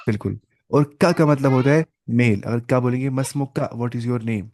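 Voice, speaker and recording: A man of middle pitch teaching a class over a video call. He speaks patiently and repeats things, slowing down to sound out each example phrase. The sound is dry and close, with no room echo. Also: darkish tone. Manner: patient, repetitive explaining. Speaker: Arabic teacher. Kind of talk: online class lecture.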